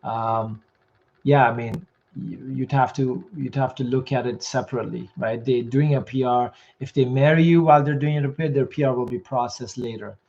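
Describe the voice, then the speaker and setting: Male host, mid-pitched, hoarse, brisk matter-of-fact Q&A delivery, muffled livestream audio. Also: steady tone, a little mellow. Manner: quick, matter-of-fact answers, reads comments aloud. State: losing his voice. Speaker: immigration consultant host. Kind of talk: live Q&A reading viewer questions.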